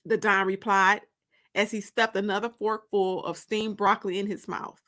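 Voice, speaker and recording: A woman narrating a first-person story aloud in an expressive, confiding, emphatic way. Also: medium pitch, moderate pace.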